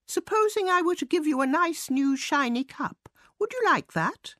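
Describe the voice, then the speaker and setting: A woman's voice: sits high, expressive, moderate pace, a bit bright, no room sound, studio quality, pitched above the performer's own voice. A woman voicing a small, self-important male mouse with a pompous, declamatory manner, as in a storybook reading. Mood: bossy. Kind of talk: storybook character voice.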